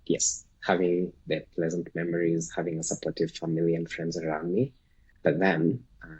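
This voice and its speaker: A man from Kenya, Luo his first language, talks in a low, very raspy voice. He reflects thoughtfully, stopping and restarting with fillers. The sound is dry and close, with no room around it. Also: a little mellow, no echo, rough recording. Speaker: guest, startup founder. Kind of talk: reflective podcast interview.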